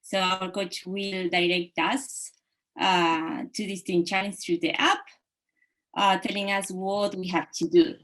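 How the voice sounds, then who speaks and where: Slow-talking woman host. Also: mid-range voice, little pitch movement, a bit bright. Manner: slow, with frequent fillers. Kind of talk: introducing a video-call session.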